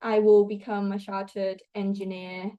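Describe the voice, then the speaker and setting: A woman's voice: mid-range voice, little pitch movement, unhurried, mellow, muffled recording. A young woman from Thailand, a student guest answering interview questions in a chatty way, with many fillers and restarts. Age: young adult.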